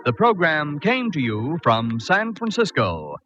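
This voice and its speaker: American guy, vintage radio announcer, formal lively read over music, muffled old recording. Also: a little high, unhurried. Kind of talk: 1940s radio announcing, scripted.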